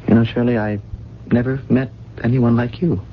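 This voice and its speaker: An actor in a radio drama playing a gentle, detached, wistful man without a past, speaking in a musing, halting way. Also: mid-range voice, steady tone, natural voice. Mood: wistful, tender. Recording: dull, noisy 1970s radio recording.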